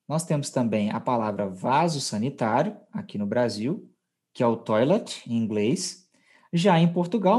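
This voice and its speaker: Husky Brazilian man teaching, mellow voice. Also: mid-range voice. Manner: explanatory, checks in with co-host. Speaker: podcast host teaching Portuguese. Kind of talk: conversational language lesson.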